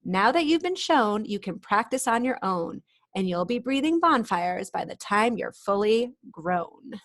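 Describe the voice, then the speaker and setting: A woman voicing a brisk, commanding female dragon teacher who exclaims and lectures in rhyme for a storybook. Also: medium pitch, sing-song. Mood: brisk, emphatic.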